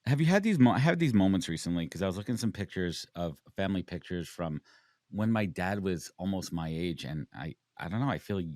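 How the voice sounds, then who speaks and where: A man's voice: deep voice, expressive, a little fast, a little mellow, no echo, clean studio sound. A man in his forties hosting a casual interview, emphatic and repeating words as he launches into questions. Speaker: podcast host.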